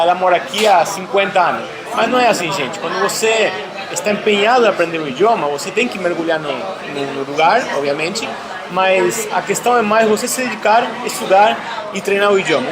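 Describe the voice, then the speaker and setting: High-pitched man, casual interviewer. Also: fast talker, a crowd in the background. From Argentina. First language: Spanish. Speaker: interviewer and host.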